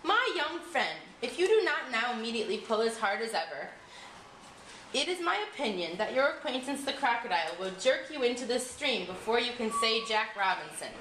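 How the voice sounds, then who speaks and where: A woman's voice: on the high side, sing-song, normal speed, fairly bright tone, roomy sound, slight background noise, low-quality recording. A woman voicing a scornful, pompous python snake in a storybook tale. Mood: urgent, pompous.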